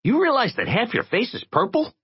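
Squeaky, frantic little reporter. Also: male voice, huge pitch swings, fairly quick, breathy edge, highs cut off, full character voice. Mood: agitated, needling. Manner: streetwise slangy American talk. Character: tiny, eight-inch man, a frantic, streetwise crusading reporter.

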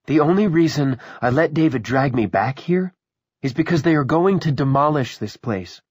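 An impassioned young woman's ghost played by a male narrator, pitched high and swinging widely. The delivery is emphatic and urgent, pressing stubborn listeners in a storybook reading, with a dry, close sound. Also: lively intonation, normal speed, slightly rough, no room sound, lo-fi sound. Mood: taunting, scornful. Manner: emphatic, pleading and taunting. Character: fierce, impassioned young dead woman urging others to leave.

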